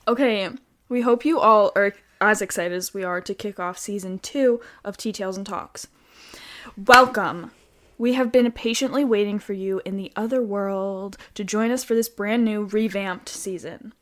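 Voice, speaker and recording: A woman co-hosting a chatty podcast, with a quiet, soft voice, rambling and giggling, saying "like" a lot. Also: medium pitch, moderate pace. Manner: giggly, rambling, says "like" often. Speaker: podcast co-host. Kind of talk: casual chat.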